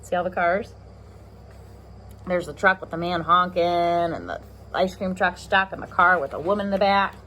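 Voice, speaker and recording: A woman reading a picture book aloud to young children, expressive, with chatty asides to her listeners. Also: on the low side, moderate pace, a little hiss or hum. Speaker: pre-K teacher reading aloud.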